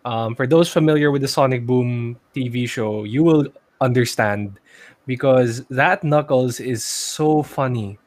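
Filipino man, mid-pitched, chatting casually. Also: a little slow, a little mellow. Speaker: podcast co-host. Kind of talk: casual chat.

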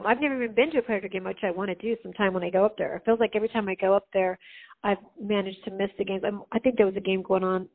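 Female singer, guest, low voice, chatty with fillers and laughs; phone-quality audio. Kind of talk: casual interview chat. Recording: phone-like narrow sound.

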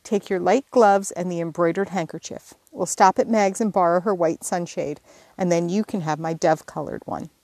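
A woman's voice: lowish voice, normal speed, darkish tone, no room sound. A woman reading as a fussy, coaxing younger sister. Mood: instructing. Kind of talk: audiobook character reading.

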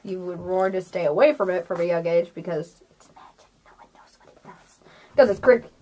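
A woman's voice: on the low side, a little fast, mellow, a little hiss or hum. A woman chatting casually as a podcast host and game master, with a giggly, playful manner. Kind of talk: casual chat and tabletop game narrating.

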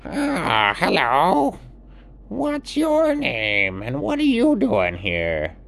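A man voicing a welcoming, helpful toucan in a children's bedtime story, with a courteous, drawn-out, emphatic delivery. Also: high-pitched, wildly swooping pitch, vocal fry, fairly bright tone, pitched above the performer's own voice, full character voice. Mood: curious, welcoming. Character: welcoming, helpful male Costa Rican toucan.

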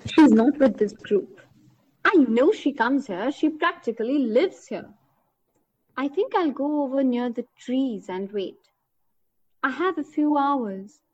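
An actress playing a woman hunter who stalks her target and marvels at winged creatures, thinking aloud in deliberate short phrases in an audio drama. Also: high voice, expressive, darkish tone, exaggerated voice. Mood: hushed, watchful. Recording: dull lo-fi recording.

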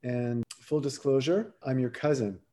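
A man's voice: medium pitch, unhurried, mellow, a little hiss or hum. A man in his fifties living in Canada, an easygoing, self-deprecating podcast guest. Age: 50s.

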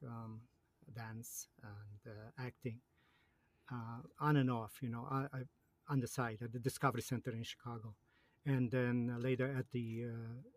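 A man from Poland in his fifties with a Polish accent, a guest in a casual interview who speaks in a halting way with many fillers and restarts. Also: medium pitch.

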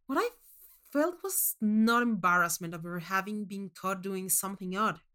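A woman narrating a first-person short story in an expressive, measured storytelling manner. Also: medium pitch, smooth.

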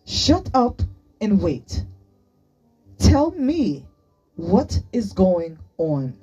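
A woman's voice: mid-range voice, animated, extremely slow, dark tone, husky, soft background music, pitched above the performer's own voice, heavily acted. A woman voicing an awkward, curious young girl with a temper in a story, questioning and insistent, slightly distant from the microphone. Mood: frustrated, demanding.

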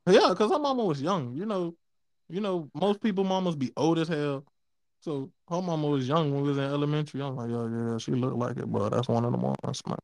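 A man in his twenties co-hosting a podcast, explaining things in a relaxed way with lots of repeated fillers. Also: on the high side, a little fast, vocal fry, no room sound, rough recording. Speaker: podcast co-host. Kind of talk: casual podcast chat.

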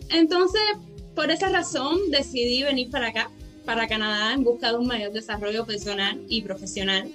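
Unhurried young Cuban-born woman. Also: on the high side, fairly bright tone, faint music behind. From Cuba. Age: 20s. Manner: earnest, unhurried, with many "eh" and "o sea" fillers. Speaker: interview guest, pageant winner and ballet dancer. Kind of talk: radio interview, personal story.

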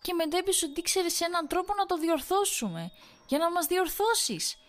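A woman voicing a frantic young wife who turns shrill and tearful. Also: faint music behind, lightly acted. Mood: hysterical. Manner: shrill, breaking into sobs.

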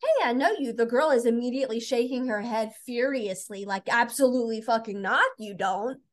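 High-pitched, blunt woman bantering casually. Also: brisk. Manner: blunt, irreverent, swears. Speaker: book podcast co-host. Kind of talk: casual banter and book discussion.

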